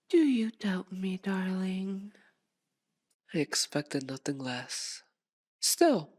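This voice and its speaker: A woman playing a possessive, sadistic female vampire in a theatrical ASMR roleplay, teasing and seductive, with a purring drawl that slips into breathy whispers. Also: mid-range voice. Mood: coaxing, dominant.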